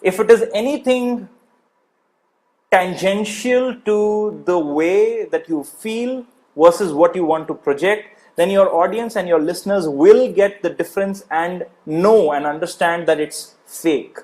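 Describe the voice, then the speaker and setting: A man's voice: high-pitched, measured pace, a little mellow. A man coaching public speaking in an instructional lecture, explaining points emphatically and checking in with the listener.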